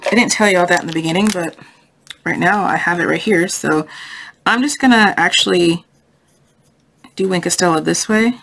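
A woman hosting a casual craft tutorial, thinking aloud in a chatty, easygoing, unscripted way. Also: on the low side. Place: indoors at a craft table. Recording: noisy home recording with clicks.

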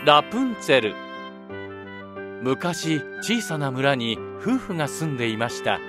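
A man's voice: medium pitch, sing-song, measured pace, music in the background. A man narrating a children's fairy tale from a script, as the storybook narrator.

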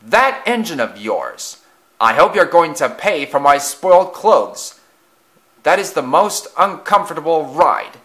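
A man's voice: a little high, big pitch swings, measured pace, fairly bright tone, voice pushed up. A man voicing outraged railway passengers in a children's story, complaining and making indignant demands.

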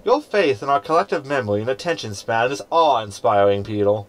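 Man voicing a sarcastic hologram, lively pitch movement, medium register, snide, sci-fi comedy. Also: fairly quick, lightly acted. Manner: sardonic. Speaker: actor in sci-fi comedy audio drama. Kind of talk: audio sitcom acting.

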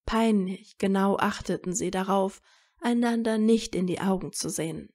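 A woman reading scripted romantic fiction as a narrator in soft, measured storytelling. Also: mid-range voice.

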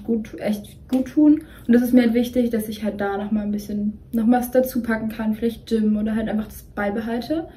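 Flat-voiced young woman chatting casually. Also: medium pitch, a little hiss or hum, poor audio. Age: young adult. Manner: flat, rambling, mixes in English words. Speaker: co-host. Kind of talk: casual chat. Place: dim bedroom, indoors.

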